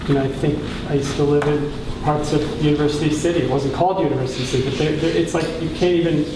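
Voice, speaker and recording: Soft-voiced man in an echoey, distant room. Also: on the high side, fairly even intonation. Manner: thoughtful, halting, searches for words. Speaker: borough councilor speaking at a caucus meeting. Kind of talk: extended remarks at a public meeting. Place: indoor council meeting room. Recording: echoey room, distant mic, clear background noise.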